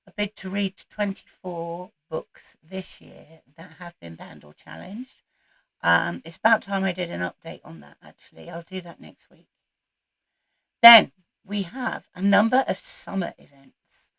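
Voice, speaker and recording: A woman with a croaky voice, recovering from voice loss, chatting casually to camera as the host of a book channel. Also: on the low side, measured pace, a bit bright, dry recording. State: croaky, recovering from losing her voice. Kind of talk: casual chat to camera about reading plans.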